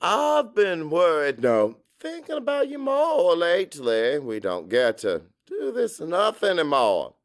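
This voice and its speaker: A man's voice: a little high, wildly swooping pitch, a little slow, few pauses, dry recording, clean studio sound, voice pushed up. A man playing a middle-aged Cajun father, anxious and guarded, narrating his own story in a brooding, conversational audiobook style. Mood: awkward, tentative. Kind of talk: first-person dramatic audiobook narration.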